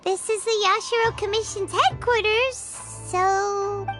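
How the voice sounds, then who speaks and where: Tiny, very high-pitched fairy companion. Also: female voice, fairly even intonation, slow pace, loud music behind the voice. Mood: cheerful, eager. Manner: cute, refers to herself in third person. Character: small chirpy fairy-like girl companion, eager and childlike. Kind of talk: video game voice acting.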